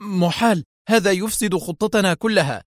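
A man's voice: mid-range voice, brisk, no echo, clean studio sound, close to an everyday voice. A man voicing an insistent, suspicious general in a story, emphatic and urgent. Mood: outraged. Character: excitable, insistent male general, suspicious and forceful. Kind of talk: dramatised audio story dialogue.

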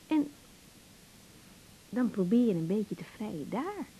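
An actress playing an encouraging grown daughter in a Dutch radio drama, practical and conversational, with an accent from the Netherlands. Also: female voice, mid-range voice, sing-song, a little slow, dark tone, slight background noise, low-quality recording. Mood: firm, encouraging. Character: brisk, encouraging grown daughter advising her mother. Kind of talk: naturalistic 1980s radio drama acting.

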